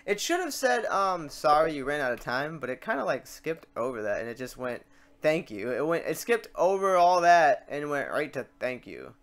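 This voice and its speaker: A man hosting a software tutorial, talking casually and thinking aloud as he works through steps and mistakes. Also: a little high, very animated, moderate pace. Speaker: tutorial host.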